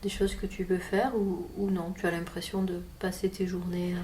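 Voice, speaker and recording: A woman hypnotherapist leading a session at a patient pace and gently echoing her client's words back. Her tone is mellow and dark. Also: fairly low pitch, level tone, moderate pace, a little hiss or hum. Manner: gentle, patient questioning, echoes the client's answers. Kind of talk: guiding a hypnosis session with questions.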